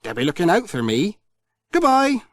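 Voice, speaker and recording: A male narrator plays a cheerful, kindly village postman from a children's storybook. The voice is friendly and exclamatory, leaping high and swooping, on a dry recording. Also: huge pitch swings, normal speed, fairly bright tone, no room sound, heavily acted. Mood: cheerful, hurried. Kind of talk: children's audiobook character voice.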